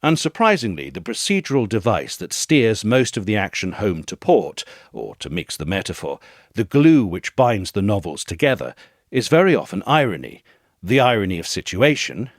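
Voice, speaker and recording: Deep-voiced man reading with expressive range. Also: no room sound, clean studio sound. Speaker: narrator reading an essay aloud. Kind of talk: scripted literary essay narration.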